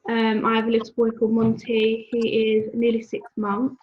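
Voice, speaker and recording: A woman's voice: a little high, normal speed, slight background noise. Hesitant young woman on a dull call line. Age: 20s. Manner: hesitant, many ums, even tone. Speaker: guest mother. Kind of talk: casual video call chat.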